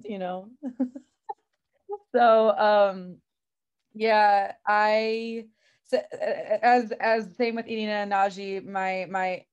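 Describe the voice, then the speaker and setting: A woman's voice: medium pitch, darkish tone, clean tone, dull audio. A woman from the Chicago area introducing herself as a project's creative consultant, chatty and laughing with many fillers. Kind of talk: casual group introduction.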